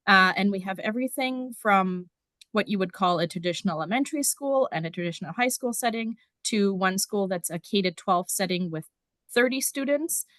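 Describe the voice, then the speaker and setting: A woman's voice: medium pitch, lively intonation, a little fast, a bit bright, no echo. A woman from Germany, a district numeracy teacher, explaining casually with frequent ums during a conference talk over a video call. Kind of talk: conference presentation.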